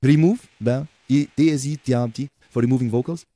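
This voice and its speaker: A man with a Portuguese accent presenting a screen-recorded software tutorial, chatty and talking to viewers as folks with lots of okay and right. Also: mid-range voice, fast, no echo.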